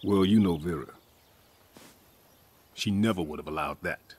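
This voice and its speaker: An actor playing a gruff, weary, middle-aged retired soldier in a video game, dry and blunt with a husky voice. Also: male voice, low-pitched, unhurried, a little mellow. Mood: guarded, wry. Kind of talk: naturalistic video game acting.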